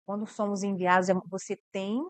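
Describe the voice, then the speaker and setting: A woman's voice: mid-range voice, little pitch movement, fairly quick, clean tone, no echo. A woman from Brazil, a missionary guest, talking earnestly about her mission work and repeating words as she thinks.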